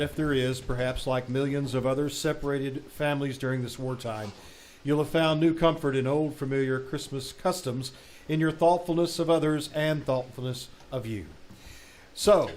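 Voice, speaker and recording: Man playing higher-pitched 1940s radio announcer. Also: darkish tone, lightly acted. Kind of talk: scripted 1940s radio narration. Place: live theater stage. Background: music.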